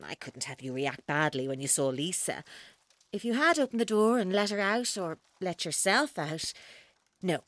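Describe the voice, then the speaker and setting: An actress playing a controlling, taunting female captor in an audio drama, speaking in a deliberate, coaxing way. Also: on the low side, animated, fairly bright tone, no echo. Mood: coldly coaxing. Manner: deliberate, coaxing, coldly mocking. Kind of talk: audio drama acting, monologue-like.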